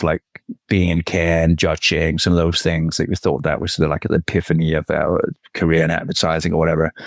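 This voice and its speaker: Man, very low voice, dry close sound, stop-start casual talk. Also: no echo. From Denmark. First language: Danish. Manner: halting, repeats words, swears casually. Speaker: guest, author. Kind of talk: casual podcast conversation.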